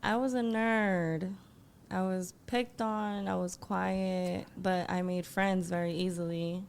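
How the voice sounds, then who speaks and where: A woman from Colombia, a podcast guest, giving relaxed, brief answers in casual conversation. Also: fairly low pitch, slow pace, vocal fry, clean tone. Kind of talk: casual interview chat.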